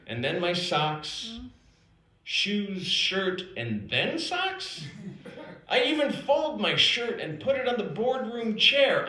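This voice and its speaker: An American man performing a scripted comedic story on stage with theatrical, deliberate pauses and emphatic delivery. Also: high-pitched, bright, forceful tone. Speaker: storyteller performing own written piece. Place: theater stage before an audience. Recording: roomy live stage recording. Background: audience laughter.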